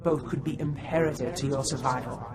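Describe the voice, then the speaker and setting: An actor playing an erudite, sly Sith scholar in a borrowed body, speaking articulately and theatrically in a video game. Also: male voice, medium pitch, steady tone, a little fast, a crowd in the background, close to an everyday voice. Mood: measured, sly. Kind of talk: video game cutscene dialogue. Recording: music behind the voice.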